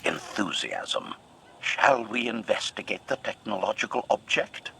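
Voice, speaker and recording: A man's voice: lowish voice, very animated, measured pace, creaky, pushed and bright, a little hiss or hum, heavily acted. A man voicing a loyal, formal assassin droid in a sci-fi game, announcing the intent of each statement with stiff precision. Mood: eager, devoted.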